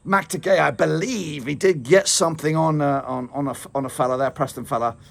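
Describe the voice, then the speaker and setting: A man with a gravelly, slightly raised voice rambles excitedly through a football match reaction, full of fillers and restarts. His voice is wearing thin. The sound is close and dry, with no room around it. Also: a little high, fairly quick, dry recording. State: losing his voice. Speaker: football fan vlogger. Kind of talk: casual match reaction to camera.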